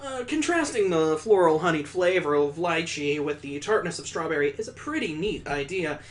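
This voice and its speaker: A man's voice: a little high, expressive, normal speed, lightly acted. Man reading as a wordy, prim young girl. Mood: critical. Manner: wordy, formal. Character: precocious nine-year-old girl narrator, prim food critic.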